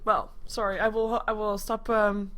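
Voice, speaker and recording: A woman casting an esports match live in a casual, chatty, expressive way. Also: medium pitch, normal speed. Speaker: esports commentator.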